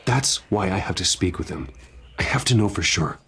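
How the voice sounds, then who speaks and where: A man's voice: low-pitched, animated, moderate pace, fairly bright tone, full character voice. An actor playing a brooding, haunted American man of wealth in a video game. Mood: guarded, determined. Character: brooding, haunted wealthy man seeking truth about his parents. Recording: clear noise of rain and thunder behind.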